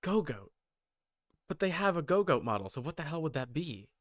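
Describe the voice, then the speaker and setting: A man's voice: fairly high pitch, very animated, moderate pace, no echo. A man streaming casual reaction commentary, thinking aloud in broken phrases close to the mic.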